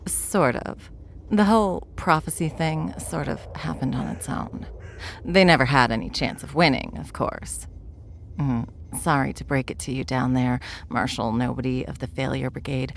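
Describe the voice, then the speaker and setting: A woman playing a smug, world-weary veteran witch in a fantasy audio roleplay, dry, condescending and unhurried. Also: rumbling low, gravelly, slight background noise.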